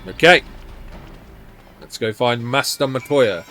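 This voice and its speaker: A man's voice: medium pitch, expressive, slow pace, sharp tone, soft background music. A man streaming a video game, chatting casually and playfully as he plays. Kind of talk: casual gameplay chat.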